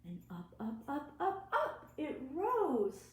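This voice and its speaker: A woman children's librarian reading aloud to young children in a deliberate, expressive, sing-song way. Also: sits high. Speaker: children's librarian hosting storytime. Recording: roomy, echoing room sound.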